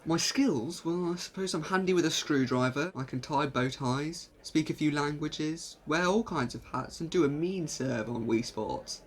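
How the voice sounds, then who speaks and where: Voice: mid-range voice, moderate pace, hardly any pauses, natural voice. A performer playing an eccentric, cheerful time traveller at a job interview, playful and rambling, in a fan audio drama. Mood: cheerful, sheepish.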